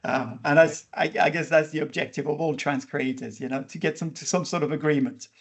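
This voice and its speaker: A man's voice: fairly high pitch, fairly even intonation, brisk. A man hosting an interview podcast, talking casually with many fillers and restarts. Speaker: podcast host.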